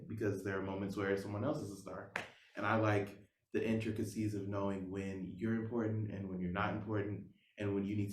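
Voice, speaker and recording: A young American man answers interview questions in a conversational way. His voice sits slightly low. He is recorded in an echoey room with faint noise. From Oklahoma, United States. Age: young adult. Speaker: cellist, music student.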